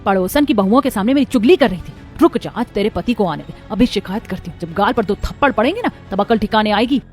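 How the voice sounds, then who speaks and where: A woman's voice: mid-range voice, lively intonation, quick pace, darkish tone, soft background music, lightly acted. A woman voicing a harsh, sarcastic, bullying middle-aged mother-in-law in a cartoon story. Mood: threatening.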